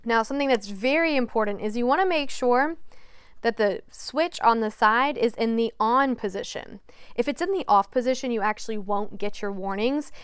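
A young woman with a very smooth, clean voice pitched a little high walks through a device demonstration. She explains each step plainly, in the instructional way of a TV weather presenter. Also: normal speed, no echo. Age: young adult. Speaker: TV meteorologist. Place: TV weather studio.

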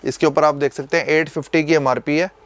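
Polite shopkeeper man pitching perfumes. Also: quick pace. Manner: polite, calls the host sir, steady salesman. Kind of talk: sales pitch. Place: indoor perfume shop.

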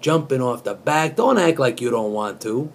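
A man in his fifties reciting in a deliberate, mock-serious way with casual asides, recorded in a small room on a webcam. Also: medium pitch, animated. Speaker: comic host reading song lyrics as poetry. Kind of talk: dramatic spoken recitation of pop lyrics with casual asides.

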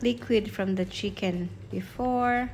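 A woman narrating a home cooking video step by step in a voice-over, calm and unhurried. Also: medium pitch. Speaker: home cook vlogger. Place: home kitchen, food sizzling in pan. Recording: audible kitchen noise behind.

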